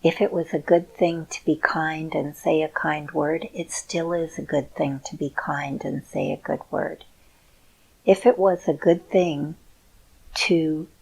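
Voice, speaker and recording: Middle-aged woman, calm encouraging talk to viewers, low husky voice, gravelly. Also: rough recording. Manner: slow, soothing, encouraging. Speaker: video host. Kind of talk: heartfelt personal talk to viewers.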